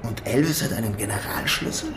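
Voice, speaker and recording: An actor playing a cocky would-be art thief in a German radio crime drama, with a creaky voice and dry, sarcastic delivery. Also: male voice, fairly low pitch, brisk, background noise, natural voice. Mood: sceptical.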